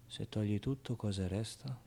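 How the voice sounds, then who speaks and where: A man's voice: on the low side, normal speed, gravelly, mellow, a little hiss or hum. A man playing a streetwise, wry and wounded ten-year-old orphan boy in a theatrical radio monologue, talking in slangy, colloquial bursts and muttered asides. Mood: hushed, watchful. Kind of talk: theatrical radio monologue, first-person storytelling.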